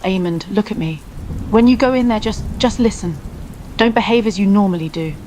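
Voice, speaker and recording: An actress playing a stern, worried queen mother in an audio drama, admonishing and pleading in a hushed manner. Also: mid-range voice, brisk, slight background noise, close to an everyday voice. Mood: anxious, reproving. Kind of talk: dramatic scripted audio acting.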